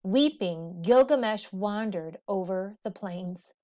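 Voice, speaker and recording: A woman reads a story aloud in the manner of a teacher. Her delivery is very measured and expressive, with dramatic pauses. The recording sounds a bit dull and lo-fi. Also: medium pitch. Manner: slow, deliberate, dramatic pauses. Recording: dull, muffled lo-fi audio.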